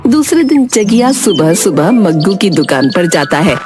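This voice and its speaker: Woman telling a kids story, lowish voice, music clearly playing behind her. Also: big pitch swings, moderate pace. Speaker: narrator. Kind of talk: scripted children's story narration.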